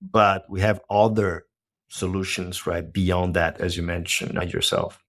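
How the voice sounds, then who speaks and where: A man in his forties from Brazil with a Brazilian accent and a Portuguese first language, explaining his business as a podcast guest in a measured way, often checking with "right?". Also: low voice, steady tone, fairly bright tone. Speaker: guest, company co-founder. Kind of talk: podcast interview.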